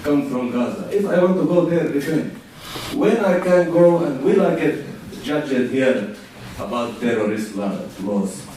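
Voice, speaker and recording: A man's voice: medium pitch, animated, a little slow, mellow, a little hiss or hum. A man from Finland in the audience, asking an earnest, emphatic question. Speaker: audience member. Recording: very echoey hall, far from mic.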